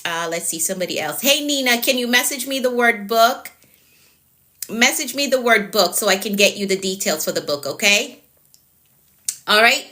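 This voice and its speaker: A woman's voice: fairly high pitch, expressive, moderate pace, pushed and bright. A woman with a Jamaican accent, a productivity coach giving a live-stream talk, repeating her key points and greeting viewers by name.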